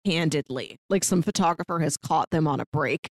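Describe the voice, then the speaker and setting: A woman's voice: sits low, normal speed, no echo, studio quality. A woman co-hosting a podcast, chatting casually about a book. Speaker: podcast co-host.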